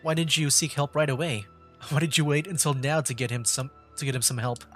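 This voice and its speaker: Man streaming gameplay over soft music. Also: a little high, fairly even intonation, a little fast. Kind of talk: casual livestream gameplay commentary.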